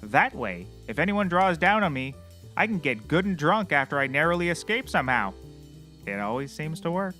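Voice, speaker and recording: An actor playing a deluded, cheerfully oblivious private investigator who talks excitably and boastfully in a scripted comedy. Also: male voice, on the high side, moderate pace, faint music behind. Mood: blithe. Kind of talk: scripted comedy audio acting.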